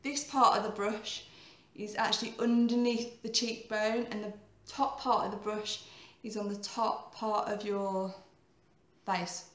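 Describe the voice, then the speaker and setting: Croaky woman in her thirties rambling through a makeup tutorial, roomy echo. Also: mid-range voice, level tone, unhurried, fairly bright tone. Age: 30s. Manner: chatty, rambling, self-correcting.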